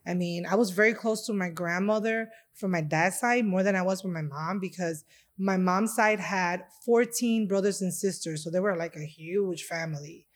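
A woman's voice: lowish voice, normal speed. A woman from Chicago, a guest in an interview, talking about her heritage in a heartfelt, rambling way with many fillers. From Chicago, USA. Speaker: interview guest, restaurant owner. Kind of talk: casual interview conversation.

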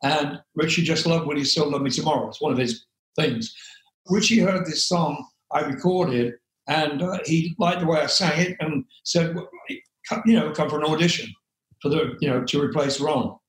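An older English man with a loud speaking voice, telling rambling stories as an interview guest, with many fillers and frequent laughs. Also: fairly high pitch, moderate pace, reverberant, low-quality recording. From England. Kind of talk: relaxed storytelling interview.

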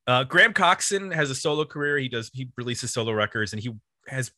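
A man's voice: medium pitch, animated, fairly quick, bright, forceful tone, no echo, studio quality. A man hosting a music podcast, casually explaining the history of an album in an informal, loosely structured way.

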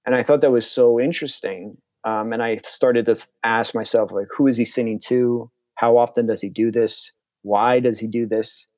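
A man's voice: medium pitch, steady tone, moderate pace, hardly any pauses, a little mellow, no room sound. A young man from Connecticut, a filmmaker being interviewed, talking casually and enthusiastically with frequent restarts. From Connecticut, USA. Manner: rambling, restarts often, enthusiastic. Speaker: filmmaker guest.

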